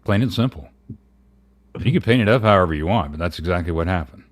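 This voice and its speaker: A man's voice: deep voice, animated, fast talker. A man co-hosting a livestream, talking casually in a blunt, sweary way. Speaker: livestream co-host.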